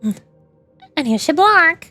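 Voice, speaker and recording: A woman's voice: extremely high pitch, sing-song, a little slow, bright, forceful tone, soft background music. A woman streamer giving casual, chatty, opinionated gaming commentary in a rambling way. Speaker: VTuber streamer.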